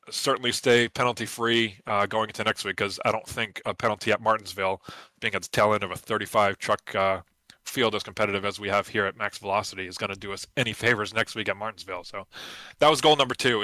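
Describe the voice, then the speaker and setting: Man, race winner interview, competitive, bright tone, dry sound. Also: fairly low pitch, no echo. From New England. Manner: confident, competitive, lots of fillers.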